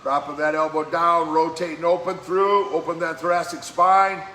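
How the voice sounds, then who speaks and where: A man leading a live-streamed workout as fitness coach, giving brisk, encouraging exercise cues in a slightly hoarse voice that keeps cracking. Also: a little high, level tone, pushed and bright. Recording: echoey room with faint background noise.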